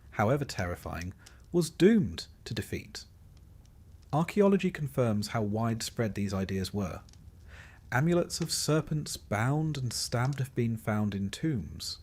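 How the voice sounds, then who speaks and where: A man reading a scripted history narration for a sleep story, in a measured, carefully phrased storytelling manner. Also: medium pitch, a little mellow.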